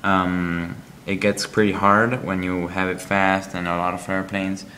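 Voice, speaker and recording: Deep-voiced guy doing a game review walkthrough, pauses and ums a lot. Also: flat delivery, pushed and bright, slight background noise. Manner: halting, explanatory, frequent ums. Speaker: app reviewer.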